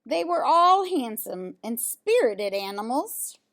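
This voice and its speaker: Slow, animated woman reading a children's story. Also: fairly high pitch. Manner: pauses to comment on pictures and ask listeners questions. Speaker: storyteller reading a children's picture book aloud. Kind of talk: read-aloud storytelling with casual asides to young listeners.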